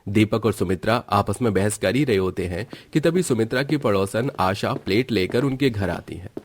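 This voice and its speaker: A man's voice: fairly low pitch, lively intonation, normal speed, a little hiss or hum. A man reading scripted narration for a cartoon family story.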